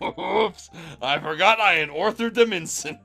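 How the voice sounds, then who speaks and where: A man's voice: high voice, a little slow, creaky, bright, forceful tone, soft background music. A man streaming a comic reading of fan fiction, narrating in an amused way and prone to breaking up.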